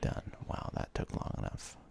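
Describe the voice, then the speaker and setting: A man giving ASMR let's play commentary in a breathy, gravelly whisper, close to the microphone, with relaxed, self-deprecating asides. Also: normal speed, faint music behind. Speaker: host of a gaming ASMR series.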